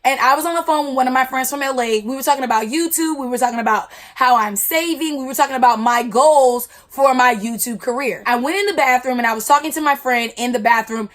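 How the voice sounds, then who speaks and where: A woman in her twenties, a YouTuber telling a storytime with a loud, blunt, slangy delivery. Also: high-pitched, quick pace, hardly any pauses. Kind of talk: storytime vlog.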